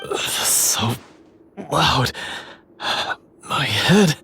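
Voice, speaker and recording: A man playing an earnest, contrite young male hunter in a video game, halting and full of sighs. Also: mid-range voice, animated, bright, forceful tone, a little hiss or hum, exaggerated voice. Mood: groggy, pained.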